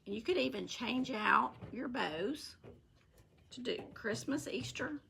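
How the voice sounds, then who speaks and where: Middle aged southern us lady, super gravelly raspy voice, folksy craft live chat, bit of background noise. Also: fairly high pitch, animated, fairly bright tone. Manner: folksy, unhurried, talking while working with her hands. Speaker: craft channel host. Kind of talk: casual live craft tutorial. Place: indoor home craft room.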